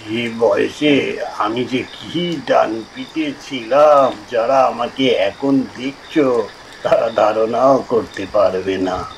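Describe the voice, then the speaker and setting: A man's voice: medium pitch, gravelly, slight background noise, rough recording. An actor playing an older village man telling an uncanny tale from his youth in an audio drama, conversational and emphatic. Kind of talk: audio-story dramatic storytelling.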